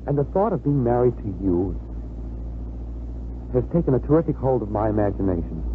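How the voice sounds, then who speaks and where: Male actor as wry, stubborn young suitor, mid-pitched with big swings, 1950s radio drama, tinny and faintly noisy. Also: very animated, a little fast, lightly acted. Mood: wry, teasing. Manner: wry, self-assured. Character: suave, stubborn, teasing young fiancé.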